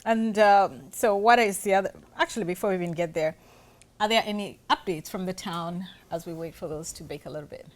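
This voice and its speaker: A woman's voice: on the low side, a little fast. A woman from western Uganda, hosting a casual cooking-show chat and eagerly asking lots of short questions. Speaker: host.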